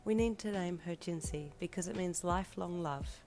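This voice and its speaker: Woman reading a young hero dad, affectionate, teasing, low hoarse voice, faint piano music behind. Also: moderate pace, darkish tone, natural voice. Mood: tender, hushed. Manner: tender with the baby, teasing and upbeat with his friend. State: hoarse, losing her voice. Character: young adult male hero, doting new father.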